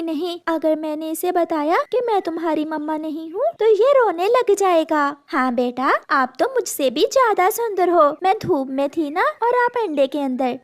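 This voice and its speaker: Woman acting a high-voiced motherly sparrow. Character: responsible, kindly mother-like female sparrow. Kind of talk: cartoon character acting.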